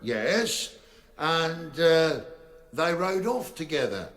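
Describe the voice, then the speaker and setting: An actor with an English accent playing an old, plain-spoken country uncle giving halting evidence in a radio drama. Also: male voice, on the high side, big pitch swings, a bit bright, full character voice. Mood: earnest, flustered. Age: older.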